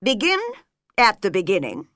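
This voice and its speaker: A bossy, impatient king who barks his orders, as a woman reading a storybook puts him on. His voice is loud and lifted, and the sound is dry and close. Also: mid-range voice, wildly swooping pitch, moderate pace, no room sound. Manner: loud and commanding. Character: stern, impatient old king presiding over a trial. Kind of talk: storybook character voice.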